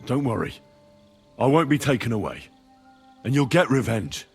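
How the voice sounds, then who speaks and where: A man's voice: mid-range voice, expressive, moderate pace, a little mellow, slightly rough, faint music behind. A man playing an earnest, brave young medieval adventurer in a game, plain-spoken and reassuring. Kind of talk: dramatic game cutscene acting.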